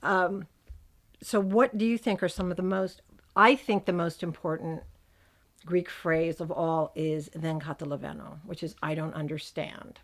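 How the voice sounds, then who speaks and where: A woman's voice: lowish voice, fairly even intonation, a little slow. A woman hosting a casual podcast, talking with a lisp after dental surgery. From United States. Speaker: podcast host.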